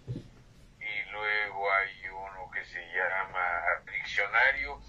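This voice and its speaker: A man's voice: lowish voice, pushed and bright. An older man, a poet, reciting verse with whispered refrains and then chatting about his books over a phone line. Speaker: poet and guest. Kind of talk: phone-in interview and poetry reading. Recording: tinny phone line with faint hiss.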